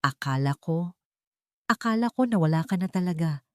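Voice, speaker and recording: A man's voice: lively intonation, normal speed, clear, resonant tone, no room sound, studio quality, lightly acted. A woman voicing a weary grieving lawyer. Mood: tearful. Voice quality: deep, weary and sorrowful. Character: tired grieving male lawyer. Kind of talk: audio drama storytelling.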